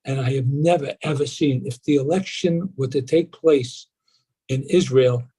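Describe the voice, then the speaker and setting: A man delivers a briefing over a video call in a mellow, slightly husky voice. He speaks earnestly and reflectively, with sentences that trail and restart. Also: medium pitch, normal speed. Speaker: nonprofit board chair giving an update. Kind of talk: live video briefing remarks.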